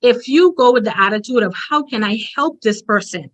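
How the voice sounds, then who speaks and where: A woman's voice: a little high, animated, fairly quick, highs cut off. A woman from the Bahamas with a strong Bahamian accent, giving a direct, emphatic motivational presentation as a conference speaker.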